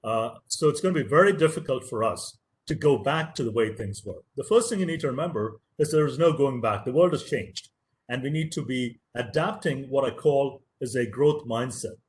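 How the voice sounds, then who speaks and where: A man's voice: mid-range voice, moderate pace. A man whose first language is Tamil gives an earnest, motivational webinar lecture as a professor, stressing key words and repeating phrases for emphasis.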